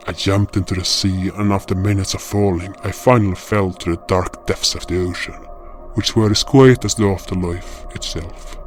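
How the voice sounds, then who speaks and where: First-person fantasy narrator: male actor for dutiful spirit guardian, lowish pitch, measured, solemn delivery over guitar music. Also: lightly acted.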